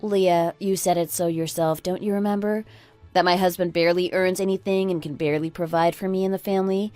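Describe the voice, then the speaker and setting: A woman playing a fed-up, sharp-tongued married woman in a radio drama, speaking in an emphatic, scornful, lecturing manner. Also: mid-range voice, no echo, lightly acted. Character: fed-up, sharp-tongued oldest daughter-in-law, a divorcing breadwinner. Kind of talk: scripted radio drama acting.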